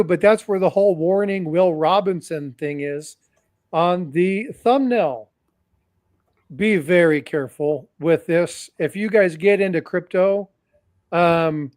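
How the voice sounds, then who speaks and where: A man's voice: high voice, darkish tone, no echo, clean studio sound. A man hosting a livestream, talking casually and cautioning his audience, often repeating phrases and addressing them directly. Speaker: host. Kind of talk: livestream commentary.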